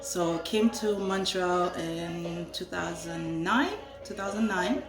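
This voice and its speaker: A woman's voice: lowish voice, slow pace, reverberant, over music. A young adult woman from Haiti, raised in Florida, chatting casually and laughing at herself. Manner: talkative, self-deprecating, laughing. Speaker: co-host. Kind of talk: casual chat.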